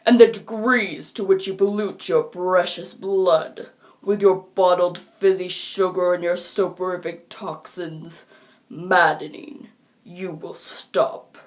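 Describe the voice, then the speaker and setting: A woman's voice: fairly low pitch, fairly even intonation, slow. A woman voicing a haughty, pompous young troll aristocrat with theatrical delivery. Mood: disgusted. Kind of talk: fan character voice acting reel.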